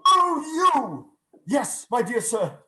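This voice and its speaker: Overjoyed old gentleman in a radio play: high, swooping, effusive, echoey room. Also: sing-song, takes it slowly, heavily acted. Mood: merry. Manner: exclamatory, effusive, repeats phrases for emphasis. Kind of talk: theatrical radio play reading. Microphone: video call. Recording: roomy, echoing video call.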